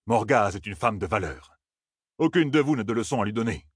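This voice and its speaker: A man voicing an older gleeman who speaks softly, patient and firm. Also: animated, fairly quick, husky, no echo, studio quality. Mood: stern. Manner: soft, low and soothing. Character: old gleeman, gentle, weary and firm.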